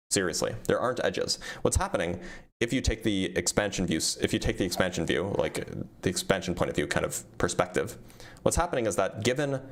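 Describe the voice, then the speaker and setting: Low-voiced man fielding livestream questions. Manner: reads questions aloud, then answers plainly with frequent ums. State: starting to lose his voice. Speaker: physicist answering viewer questions. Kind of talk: casual livestream Q&A.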